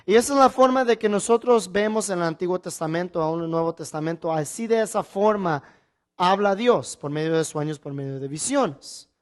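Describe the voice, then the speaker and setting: A man delivering a Bible teaching sermon as a preacher, phrasing things deliberately and emphatically, with rhetorical questions and repetition. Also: sits high.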